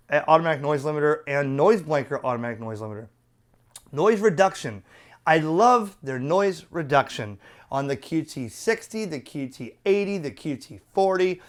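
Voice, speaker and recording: A middle-aged man giving a casual product review in a chatty, opinionated, colloquial way. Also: fairly high pitch. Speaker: radio reviewer.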